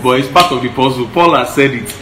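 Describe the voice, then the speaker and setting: A man's voice: mid-range voice, a little fast, pushed and bright. Yoruba-accented man in his 30s, earnest co-host giving emphatic advice from a roomy living room, mid-range voice. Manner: earnest, emphatic, repeats himself. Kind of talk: casual relationship advice chat. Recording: echoey room, faint hiss.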